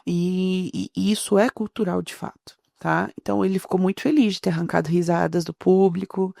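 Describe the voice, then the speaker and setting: A woman's voice: fairly low pitch, gravelly, darkish tone, no echo, clean studio sound. A young woman with a Mineiro Brazilian accent, giving opinionated reaction commentary with firm explanations and hesitant restarts. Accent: Mineiro Brazilian Portuguese. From Minas Gerais, Brazil.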